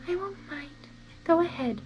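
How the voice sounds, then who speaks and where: A woman voicing a shy young female storybook pony, gentle and hesitant, trailing off as she speaks. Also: extremely high pitch, animated, measured pace, highs cut off, pitched above the performer's own voice. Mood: shy, nervous. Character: shy, soft-spoken young female pony, timid and yielding. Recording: background music under the voice.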